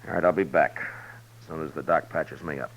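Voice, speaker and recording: An actor playing a tough, steady American frontier marshal in a 1950s radio western, speaking tersely and with understatement. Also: male voice, a bass voice, fairly quick, gravelly, full character voice. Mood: defiant. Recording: muffled, hissy old radio recording.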